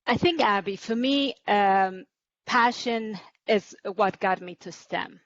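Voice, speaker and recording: A woman's voice: medium pitch, a bit bright, no echo. Earnest woman. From Ethiopia. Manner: earnest, with frequent "uh" pauses. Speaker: panelist. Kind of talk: panel discussion. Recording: dry, dull lo-fi audio.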